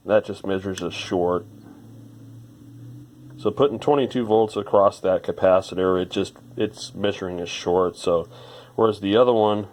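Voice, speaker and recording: Very low-pitched man thinking aloud while tinkering. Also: moderate pace, a little mellow, slight background noise. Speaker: electronics repair hobbyist presenting a restoration. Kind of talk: informal hands-on repair narration.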